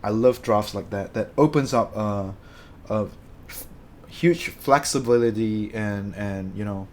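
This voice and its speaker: A man's voice: fairly low pitch, a little slow, a little mellow, slight background noise. A young man from Malaysia chatting loosely with his live-stream viewers, rambling and correcting himself as he talks. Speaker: streamer answering chat. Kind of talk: casual live-stream chat.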